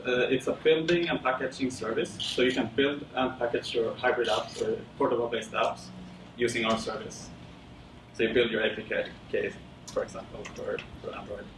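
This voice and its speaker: A man from Sweden presenting casually at a tech meetup, with many fillers and a relaxed, rambling delivery. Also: mid-range voice. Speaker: conference speaker. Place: lecture room. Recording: roomy, distant with audible background noise.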